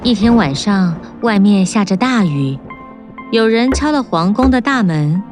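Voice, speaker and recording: A woman narrates a children's fairy tale in a clear, even storybook manner. Her voice sits at a middle pitch. Music plays clearly beneath her. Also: a little slow. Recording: narration over prominent music.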